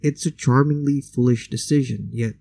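A man's voice: medium pitch, fairly even intonation, moderate pace, creaky, mellow, dry recording. A man whose first language is Indonesian, hosting a solo podcast in a conversational, opinionated way with casual asides. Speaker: podcast host.

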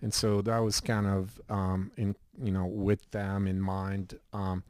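A middle-aged man from Switzerland, a health shop owner talking as a guest in a casual interview, relaxed and rambling with many fillers. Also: low-pitched, slow, no room sound.

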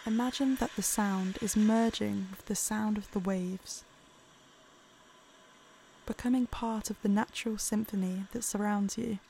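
A woman narrating a guided meditation in a calm, soothing, unhurried way. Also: mid-range voice, creaky, a little hiss or hum.

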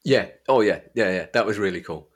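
Deep-voiced Englishman chatting about books. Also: brisk, slightly rough. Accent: English East Midlands. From Derbyshire, England. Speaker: podcast host. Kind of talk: casual book discussion chat.

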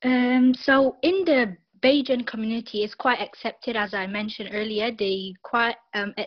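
A woman's voice: fairly high pitch, measured pace, no echo, lo-fi sound. A young woman from Barbados with a Barbadian accent, a guest talking casually in an interview, with many fillers and restarts.

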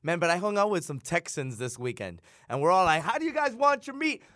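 Male radio co-host, lively anecdote style, dead-dry booth, slightly high voice. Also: fairly quick, dry recording. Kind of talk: casual storytelling banter.

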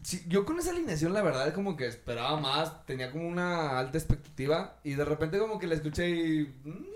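A young Mexican man hosting a casual group podcast, talking excitably in heavy slang and joking around. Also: on the high side, quick pace, slight background noise. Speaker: podcast host. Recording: roomy echo.

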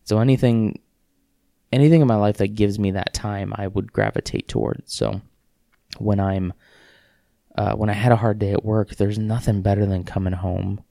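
A man hosting a solo podcast, rambling in a casual, self-deprecating way with halting restarts and a sniffle. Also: on the low side, steady tone, gravelly, warm tone, no echo, studio quality. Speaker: podcast host.

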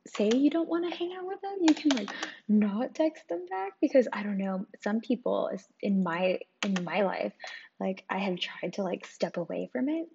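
A young woman hosting a casual solo podcast with a croaky, hoarse voice, talking candidly with lots of swearing and "like" fillers. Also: medium pitch. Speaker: podcast host. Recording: dull lo-fi sound with clear noise.

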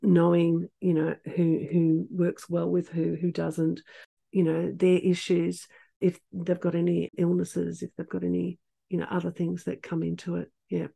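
A woman in her sixties, a PE teacher being interviewed, chatting casually with a soft voice, restarting words and laughing often. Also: lowish voice, monotone, a little slow, creaky, breathy edge. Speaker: PE teacher, guest.

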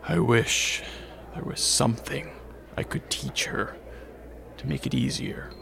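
An actor playing a weary, protective vampire count in a radio drama, speaking in a measured, halting, understated way. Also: male voice, mid-range voice, expressive, gravelly, crowd noise. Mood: subdued, regretful.

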